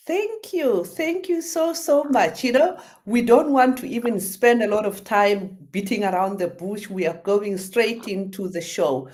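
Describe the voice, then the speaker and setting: A woman's voice: medium pitch, unhurried, few pauses, a little mellow. A woman hosting a radio interview show, talking effusively with many fillers.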